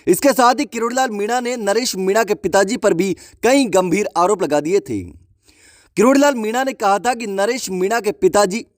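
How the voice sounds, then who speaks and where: Male news presenter, high voice, dry close sound, emphatic viewer-directed delivery. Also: moderate pace, no room sound. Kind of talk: online news commentary narration.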